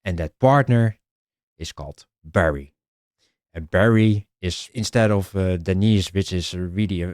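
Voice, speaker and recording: A man with a Dutch accent pitching his indie game idea in a casual vlog, halting and restarting often and clearing his throat. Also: no room sound, studio quality. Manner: halting, many restarts and filler sounds.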